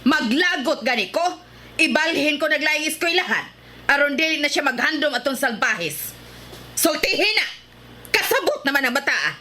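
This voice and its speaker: A woman's voice: sits high, big pitch swings, moderate pace, pushed and bright, exaggerated voice. A woman playing a scolding, suspicious mother in a radio drama, stern and nagging. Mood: irritated, threatening. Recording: slightly noisy radio drama recording.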